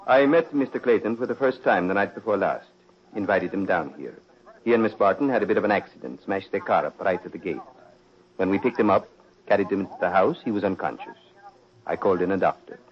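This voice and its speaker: An old, muffled radio mystery recording carries a low male voice. A man acts out a devilish aristocrat, urbane and unhurried, who speaks with sinister, mocking courtesy in the theatrical manner of forties radio. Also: natural voice. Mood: composed, explaining. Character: suave sinister prince, the Devil in gentleman's guise. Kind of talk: 1940s radio mystery acting.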